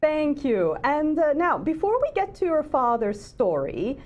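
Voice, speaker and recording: A woman's voice: high-pitched, very animated, a little slow, darkish tone, dull audio. A woman hosting an interview, asking polite and friendly questions. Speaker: host.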